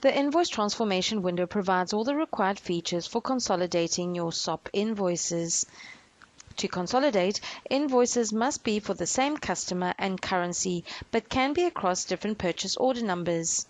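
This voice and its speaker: A woman reading a scripted software demo narration in a measured, instructional way. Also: mid-range voice, animated, no room sound.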